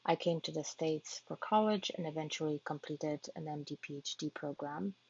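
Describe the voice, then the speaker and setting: A woman from Poland, a physician-scientist, giving a prepared research talk to patient families in an earnest, measured way with occasional hesitations. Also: low-pitched, a little mellow, breathy edge. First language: Polish. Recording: dull lo-fi online talk audio.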